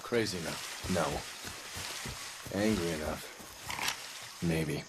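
An actor playing a gruff, profane male spy handler in a jungle combat video game. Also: extremely low pitch, slow pace, noisy background. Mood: terse, guarded.

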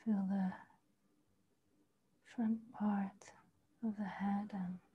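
A woman leading a guided body meditation, speaking slowly and softly, often in a half whisper. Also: medium pitch, level tone, breathy edge, dull audio. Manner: slow, soft, partly whispered guidance. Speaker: meditation teacher. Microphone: video call.

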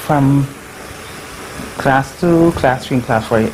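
A woman from Barbados with a Barbadian accent recalls her past in an unhurried, reflective voice, pausing often with fillers. She speaks over a webcam from her living room, with a faint hiss underneath. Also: rumbling low. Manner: slow, reflective, halting with fillers. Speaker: interview guest. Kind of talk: casual recollection. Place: living room at home, indoors. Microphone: webcam on a video call.

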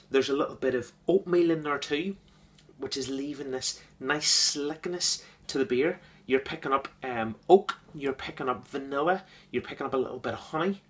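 Man, from the UK, casual beer review, enthusiastic, repetitive, filler-heavy, average pitch. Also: a bit bright. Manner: enthusiastic, repeats words, many fillers.

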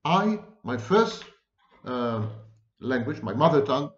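An emphatic man in his sixties lectures into a desk webcam, slow and measured, piling up synonyms. His room sounds roomy and the audio is dull and muffled. His voice sits at an ordinary middle pitch. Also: very animated. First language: Hebrew. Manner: deliberate, emphatic, gesturing, lists many near-synonyms. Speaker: lecturer on psychology. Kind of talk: lecture-style monologue to camera. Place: home room indoors.

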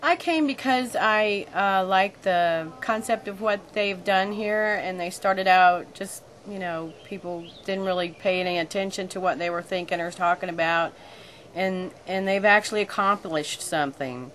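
A woman's voice: medium pitch, normal speed, a bit bright. A middle-aged woman giving a casual, unscripted visitor testimonial outdoors on a camera mic. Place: outdoors beside a brick building. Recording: noisy outdoor camcorder sound.

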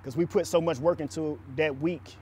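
Higher-voiced man talking, faint outdoor noise. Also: moderate pace, dark tone. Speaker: former football player. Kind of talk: casual interview talk.

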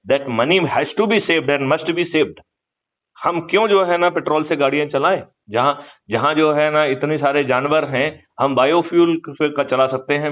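Older male activist on a narrow-band phone recording, higher-pitched voice, emphatic, lecturing delivery that seeks agreement. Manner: emphatic, lecturing, seeking agreement with rhetorical questions. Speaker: activist, retired police officer. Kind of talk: persuasive political talk on a phone call. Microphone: phone call.

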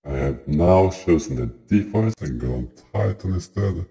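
A man testing a software voice changer that turns his voice into a deep, dark voice-changed man, reading a test line flatly. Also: normal speed.

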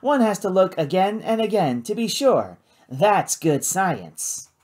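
A narrator voicing a smug, patronising upper-class gentleman scientist in an audiobook, pompous and condescending. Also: mid-range voice, big pitch swings, slow, pitched above the performer's own voice. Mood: smug, lecturing.

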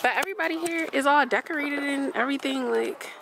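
A young woman vlogging casually to her viewers in a relaxed, chatty way, filmed on a handheld camera. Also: high-pitched, fast talker, gravelly, noisy background. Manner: relaxed, chatty, laughs often. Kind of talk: casual vlog chat to viewers. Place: outdoors at night, in a parked car and at a storage unit.

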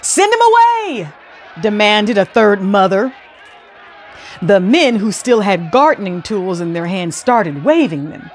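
A middle-aged woman narrating a children's story aloud in a theatrical, expressive way, close on a lapel mic. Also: medium pitch, unhurried, a little hiss or hum. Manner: theatrical, animated.

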